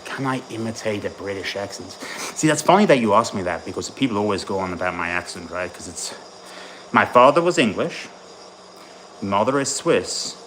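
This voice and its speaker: A man's voice: on the low side, animated, normal speed, fairly bright tone, slight background noise, low-quality recording. A man born in Tennessee and raised in Ireland, a bodybuilding coach chatting casually with his live-stream audience between sets at the gym. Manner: chatty, rambling, answers chat while training.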